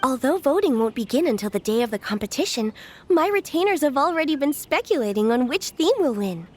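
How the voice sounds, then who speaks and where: A woman's voice: high voice, very animated, a little fast, slight background noise. A woman voicing a confident, refined, knowing lady official in a video game, speaking eloquently and formally. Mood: amused. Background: game music.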